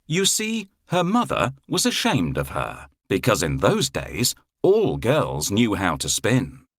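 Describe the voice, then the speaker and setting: Very animated, slow male storyteller. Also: fairly low pitch, no echo. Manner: expressive, theatrical, deliberate. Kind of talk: scripted children's fairy-tale narration.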